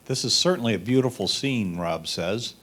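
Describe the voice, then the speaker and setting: Lower-voiced man reading critiques. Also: lively intonation, moderate pace, a bit bright. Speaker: reader of judge's critiques. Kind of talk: reading written photo critiques aloud.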